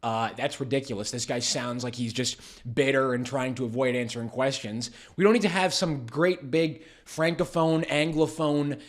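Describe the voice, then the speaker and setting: A young Canadian man co-hosting a political commentary show, talking animatedly with frequent restarts. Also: mid-range voice. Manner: animated, fast talker with many restarts. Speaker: co-host.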